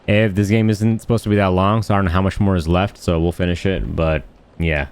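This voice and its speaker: A man's voice: low voice, steady tone, fairly quick, darkish tone, smooth. A man giving casual, unscripted gaming commentary in a blunt, rambling, slangy and crude way. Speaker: gaming video host.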